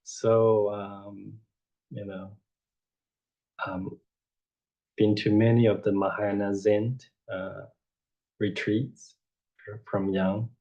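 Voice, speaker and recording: A man gives an informal dharma lecture over a video call, unhurried and rambling, with frequent "you know" and self-corrections. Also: lowish voice, level tone. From Taiwan. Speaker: Buddhist teacher giving a talk. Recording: dull lo-fi online audio.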